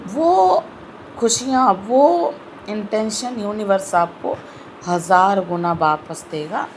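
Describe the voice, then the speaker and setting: A woman with a sore throat giving a slow, earnest devotional talk in an online video. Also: mid-range voice, slight background noise, poor audio. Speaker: spiritual video host.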